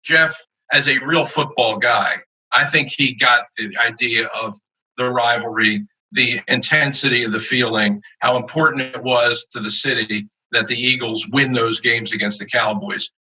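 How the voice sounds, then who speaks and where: A man's voice: medium pitch, fairly even intonation, moderate pace, bright, forceful tone, slightly rough, poor audio. A man in an interview, speaking thoughtfully about the history of a team. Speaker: interviewee recalling team ownership. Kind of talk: reflective interview.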